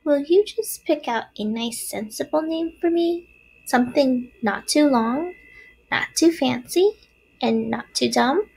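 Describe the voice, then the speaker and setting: A woman's voice: high voice, animated, unhurried, vocal fry, darkish tone, pitched above the performer's own voice, full character voice, poor audio. A woman voicing tiny newborn girl spiders from a storybook, cheerful and friendly, with small, airy voices. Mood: eager. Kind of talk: storybook character voice.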